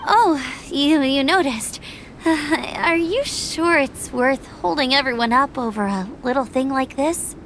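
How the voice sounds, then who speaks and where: A woman voicing a cheerful, earnest young adventurer in a video game, chatty and expressive. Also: high voice, measured pace, slight background noise. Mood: sheepish, woozy.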